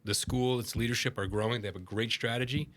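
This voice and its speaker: A man's voice: medium pitch, fairly even intonation, quick pace, fairly bright tone. A man talking on a podcast as a guest, confident and emphatic with a dry humor. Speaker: retired army general, guest. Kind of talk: casual podcast conversation.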